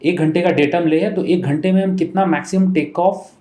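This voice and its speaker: A man's voice: high-pitched, fairly even intonation, a little fast, warm tone. An Indian-accented man explaining things methodically as an interview guest, mixing Hindi and English as he goes. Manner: methodical, breaks points into parts, switches between Hindi and English. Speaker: air force officer interview guest. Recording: echoey room.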